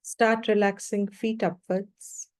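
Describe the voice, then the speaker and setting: A woman teaches meditation at a slow, unhurried pace in a mid-range voice. She gives brief, gentle instructions, calm and measured, and leaves long pauses between phrases. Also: mid-range voice.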